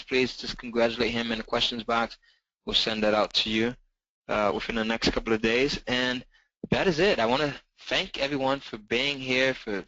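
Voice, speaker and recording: A man's voice: medium pitch, bright, forceful tone, no room sound. Rambling man signing off a webinar. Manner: rambling, repeats himself. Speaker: webinar presenter. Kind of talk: closing a live webinar. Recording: dull lo-fi webinar audio.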